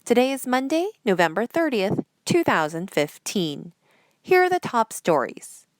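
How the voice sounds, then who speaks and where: A woman presenter reading scripted news headlines in a measured, formal newsreader delivery. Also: fairly high pitch, big pitch swings, no echo.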